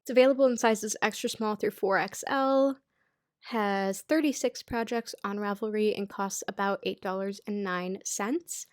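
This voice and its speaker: A woman's voice: medium pitch, steady tone, normal speed, no room sound, studio quality. A young American woman in her twenties, badly congested, chatting casually and self-deprecatingly as she runs through her picks for the season. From United States. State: congested, stuffy-nosed. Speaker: knitting YouTuber. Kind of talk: casual chatty pattern roundup.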